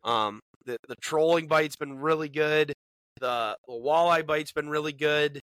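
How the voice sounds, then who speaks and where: A chatty, upbeat man hosts a casual podcast, his voice stuffed up from a cold. His tone is bright and forceful, and the sound is dry and close, with no room echo. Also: on the high side, unhurried. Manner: upbeat, chatty, repeats words as he talks. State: congested from a cold. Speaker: podcast co-host, bait shop owner. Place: small studio in a bait shop.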